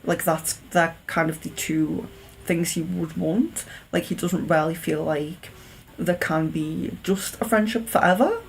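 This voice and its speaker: A woman's voice: fairly low pitch, fairly even intonation, measured pace, creaky, slight background noise, low-quality recording. A woman giving a live-stream tarot reading, thinking aloud in a hesitant, searching way and sounding a little sniffly. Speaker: tarot reader.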